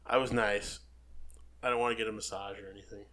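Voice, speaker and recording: A man with a Long Island accent co-hosting a casual chat podcast, joking and teasing, getting loud when exasperated. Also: lowish voice, level tone, fast talker. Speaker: podcast co-host.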